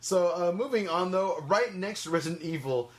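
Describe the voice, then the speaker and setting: A man's voice: sits high, lively intonation, moderate pace. A young man hosting a podcast in casual, chatty conversation, swearing freely. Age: young adult. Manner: profane, chatty. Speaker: podcast host. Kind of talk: casual chat about games and movies.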